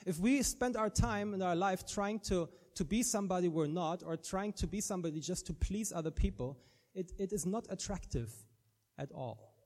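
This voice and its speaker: A man's voice: high voice, expressive, normal speed, darkish tone. A man from Germany with a German accent, preaching an earnest sermon and often restarting his words.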